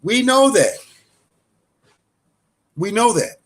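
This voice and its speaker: A man's voice: high voice, very animated, takes it slowly. A man giving loose, conversational commentary, full of restarts and fillers, as a show host. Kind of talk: casual spoken commentary.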